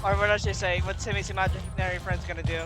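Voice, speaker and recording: A woman's voice: mid-range voice, steady tone, fast. A woman giving casual, wry gameplay commentary with reactive asides. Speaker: game streamer. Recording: loud game music under the voice. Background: video game music.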